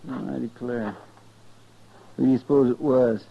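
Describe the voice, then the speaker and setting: A man's voice: mid-range voice, steady tone, normal speed, creaky, breathy edge. A man playing a folksy, deferential cowboy sidekick in a 1950s radio western, with a drawling American accent. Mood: somber, puzzled. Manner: drawling, folksy, plain-spoken. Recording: dull, noisy 1950s radio recording.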